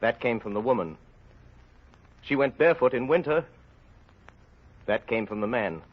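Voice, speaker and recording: Husky male old-time radio narrator. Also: mid-range voice, lively intonation. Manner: grave, deliberate, theatrical. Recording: muffled old radio with music behind.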